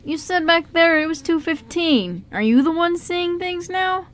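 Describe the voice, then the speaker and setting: A woman's voice: extremely high pitch, animated, unhurried. A woman playing a jittery, wisecracking young male housebreaker in an amateur radio drama, with a casual, teasing delivery. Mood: teasing, curious.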